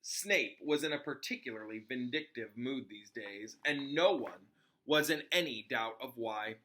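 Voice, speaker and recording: A man's voice: medium pitch, sharp tone, low-quality recording. A man who is a teacher, reading a children's novel aloud in an informal way with casual explanatory asides. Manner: informal, pauses to explain to listeners.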